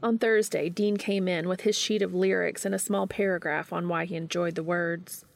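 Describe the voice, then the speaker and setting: Low-pitched female story narrator. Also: fairly even intonation, moderate pace, creaky, no echo, faint music behind. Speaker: fan fiction reader.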